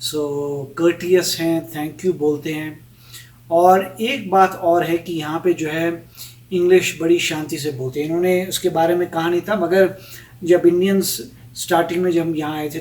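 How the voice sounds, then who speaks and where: High-voiced man, relaxed and measured. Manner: measured, mixes in English phrases, thinks aloud with fillers. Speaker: co-host of a reaction vlog. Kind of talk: casual chat. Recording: voice off mic, from a distance.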